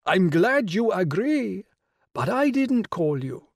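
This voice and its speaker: A man's voice: big pitch swings, no room sound, studio quality, heavily acted. A man voicing an imperious royal older woman on the phone, rich and emphatic, dwelling on words. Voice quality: rich, emphatic, high put-on voice. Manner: grand, insistent, drawn-out words. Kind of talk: audiobook character voice.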